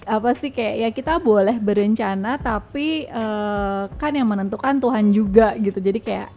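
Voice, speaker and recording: A woman's voice: mid-range voice, moderate pace, warm tone, soft background music. A woman with an Indonesian accent chatting casually and informally as a guest, dropping in English words. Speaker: guest sharing experiences and tips.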